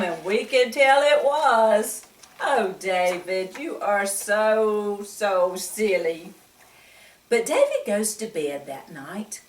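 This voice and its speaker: A woman reading a picture book aloud to young children, expressively, with exclamations and frequent questions to the listener. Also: medium pitch. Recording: echoey room, distant microphone.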